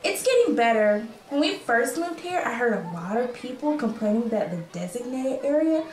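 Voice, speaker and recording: Woman playing a high, lively little refugee girl. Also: moderate pace. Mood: matter-of-fact. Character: young refugee girl, candid and chatty. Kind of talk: radio play dialogue. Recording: echoey room with faint noise.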